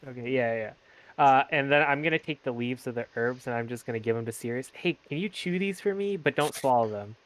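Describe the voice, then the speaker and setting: A man's voice: mid-range voice, a little fast, fairly bright tone, a little hiss or hum, poor audio. A man playing a tabletop roleplaying game, chatting informally and correcting himself as he explains his character's actions. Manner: informal, self-correcting, swearing. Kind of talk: casual game chat.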